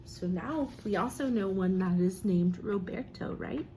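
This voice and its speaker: Slow-paced woman reading to children. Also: medium pitch, dark tone, a little hiss or hum, poor audio. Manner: encouraging, pausing to ask and praise as a teacher does. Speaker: teacher reading aloud to children. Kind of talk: expressive picture-book read-aloud with questions to young listeners.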